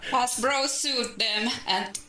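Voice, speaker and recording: A woman co-hosting a podcast, chatting casually and reading out trivia informally, a little haltingly. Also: a little high, a crowd in the background. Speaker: podcast co-host. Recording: echoey room with faint noise and clicks.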